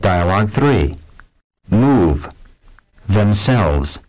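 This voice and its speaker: A man's voice: on the low side, big pitch swings, darkish tone, low-quality recording. A man reading instructions and example words for a language course. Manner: slow, measured announcing. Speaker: course narrator.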